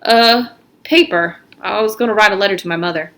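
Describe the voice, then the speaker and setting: A woman's voice: medium pitch, fairly quick, a bit bright, lightly acted. A woman voicing a weary, guarded teenage boy, plain and hesitant, in a storybook reading.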